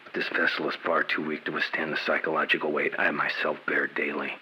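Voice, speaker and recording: Menacing, very deep scientist villain. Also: male voice, flat delivery, bright, forceful tone, slight background noise, exaggerated voice, poor audio. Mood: cold, clinical. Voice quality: low, breathy, slipping toward whisper. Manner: deliberate, clinical, contemptuous. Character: cold, menacing, vengeful male scientist villain, arrogant and tormented. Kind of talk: video game villain acting.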